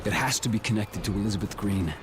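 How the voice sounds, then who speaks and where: An actor playing a grim, defiant antihero in a video game, terse and menacing. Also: male voice, on the low side, fast talker, noisy background, lightly acted. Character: grim, defiant young male antihero out to kill. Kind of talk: video game cutscene acting.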